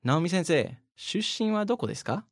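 A man's voice: a little high, big pitch swings, rapid-fire, no room sound, clean studio sound. A man from the United States, co-hosting a bilingual podcast language lesson, explaining points and asking leading questions with light jokes. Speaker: podcast co-host.

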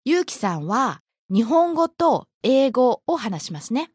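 A woman from Japan, speaking, leads a podcast language lesson. Her somewhat high voice moves in lively leaps of pitch as she gives example phrases. The sound is close and dry, with no room around it. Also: moderate pace, slightly rough, no echo. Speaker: Japanese teacher.

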